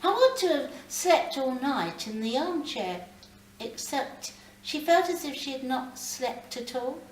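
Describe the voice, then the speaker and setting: Woman, live story reading, dramatic pauses, lively high voice, distant mic with faint noise. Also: roomy sound. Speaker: reader of a short story. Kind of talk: expressive live reading of literary fiction. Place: library reading event, indoors.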